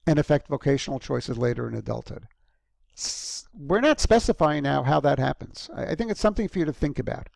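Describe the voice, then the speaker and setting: A man's voice: medium pitch, vocal fry, darkish tone, no echo. A man giving a recorded university lecture in a conversational way, with frequent ums and restarts.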